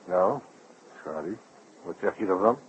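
Casting: genial local companion, lowish voice, bantering and teasing, old muffled radio play. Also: male voice, animated, a little slow. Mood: teasing, curious. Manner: bantering, conversational. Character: Harold, genial, teasing local male guide and friend. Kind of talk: 1960s Dutch radio drama acting. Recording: dull, noisy 1960s radio recording.

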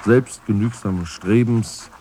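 An actor playing a learned, insistent commentator in a literary radio play, speaking deliberately and with pointed emphasis. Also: male voice, on the low side, noisy background, lightly acted. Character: learned, insistent male commentator dissecting a poet's self-portrait.

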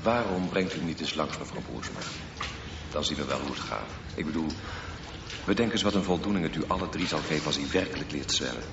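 Radio play adviser: extremely low voice, lively intonation, formal and insistently persuasive. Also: male voice, quick pace. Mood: earnest, persuasive. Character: earnest, persuasive male adviser pressing anxious parents. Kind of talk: radio drama acting. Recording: muffled, echoey, very noisy, distant microphone.

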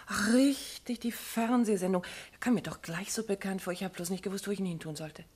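An actress playing a detective's chatty, observant and affectionate wife in a 1950s radio play, confiding and animated in her storytelling. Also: mid-range voice, fairly quick, breathy edge, natural voice. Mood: curious.

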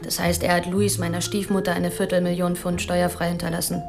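An actress playing a cool, candid young heiress in a radio play, composed, blunt and matter-of-fact. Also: female voice, fairly low pitch, completely flat delivery, brisk, creaky, over music. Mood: cool candour.

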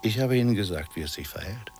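Low-voiced, reserved painter, music behind. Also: male voice, expressive, quick pace, a little mellow. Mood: calm, enigmatic. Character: male portrait painter, calm, reserved, quietly teasing. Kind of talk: German radio play acting.

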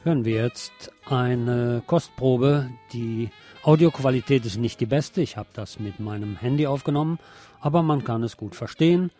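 A man's voice: medium pitch, normal speed, warm tone, faint music behind. A man presenting a recorded reading, speaking informally as in a radio-style report.

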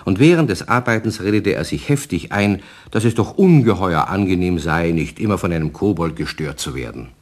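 A storyteller narrating a children's radio play. His voice is deep, and his delivery moves expressively up and down as he addresses young listeners. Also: expressive, a little fast, few pauses. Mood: storytelling.

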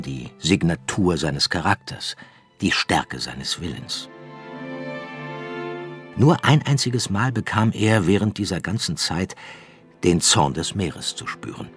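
Casting: male narrator for literary radio play, very low voice, measured delivery over faint music. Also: a bit bright. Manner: measured, storytelling in long literary sentences.

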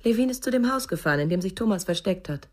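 An actress playing an uneasy, guarded woman in a German radio crime drama, with a husky voice. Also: medium pitch, expressive, fast, a little mellow, close to an everyday voice. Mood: uneasy, resigned.

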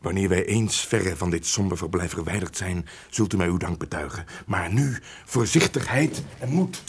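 An actor playing an honest, brave castle servant in a radio drama, earnest and conspiratorial, with a husky voice. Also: male voice, low-pitched, lively intonation, moderate pace, full character voice. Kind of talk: theatrical Dutch radio drama acting.

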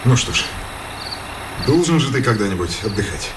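An actor playing a restless, wry village schoolteacher in a radio play, brooding and ironic. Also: male voice, mid-range voice, big pitch swings, normal speed, noisy background, close to an everyday voice. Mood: apologetic.

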